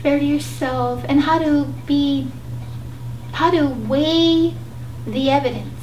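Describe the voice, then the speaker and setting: A soft-spoken woman co-hosting a tarot reading in a gentle, halting way with many pauses. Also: high voice. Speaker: tarot reader co-host. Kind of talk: casual tarot reading chat. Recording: echoey room, distant mic, noisy hum.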